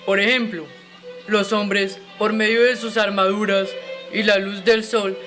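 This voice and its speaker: A man's voice: high voice, little pitch movement, measured pace, bright, forceful tone, soft background music. A man playing a courteous inventor who gives polite, scripted explanations in an educational radio drama.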